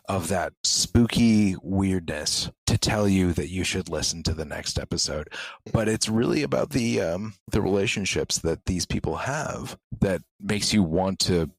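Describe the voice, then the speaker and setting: A man in casual discussion as a podcast guest, speaking haltingly with an amused manner. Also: sits low, dry recording, poor audio.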